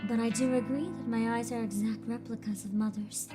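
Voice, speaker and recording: Woman doing a vain, quietly menacing young woman. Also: steady tone, over loud music, close to an everyday voice. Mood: musing. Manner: low, soft, measured delivery. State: throat congested from screaming.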